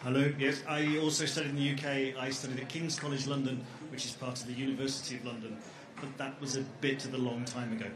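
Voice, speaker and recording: A man's voice: medium pitch, little pitch movement, quick pace, few pauses, crowd noise. A middle-aged man co-presenting at an education fair, rambling and joking with lots of ums. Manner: jokey, rambling, with many fillers. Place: large echoey exhibition hall. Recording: echoey hall.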